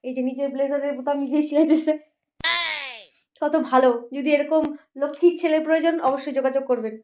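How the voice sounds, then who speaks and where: A woman's voice: high-pitched, steady tone, fast. A woman doing domestic comedy banter as a nagging, argumentative wife. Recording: noisy home-video sound.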